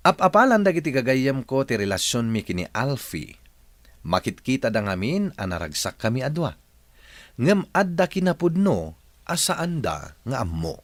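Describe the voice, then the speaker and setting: A man's voice: fairly low pitch, no echo. A man with a Filipino accent narrating and hosting a radio drama with scripted, dramatic delivery.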